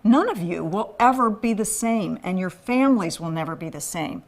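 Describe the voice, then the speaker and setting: A woman's voice: mid-range voice, animated, moderate pace. A woman raised in Thailand, delivering an earnest scripted appeal for a men's ministry. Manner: earnest, pleading.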